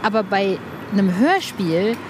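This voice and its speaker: A woman's voice: medium pitch, expressive, background noise. A woman interviewing in a casual, colloquial way, often rephrasing her questions as she goes. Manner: colloquial, self-deprecating, halting rephrasing. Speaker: podcast interviewer. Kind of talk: casual chat.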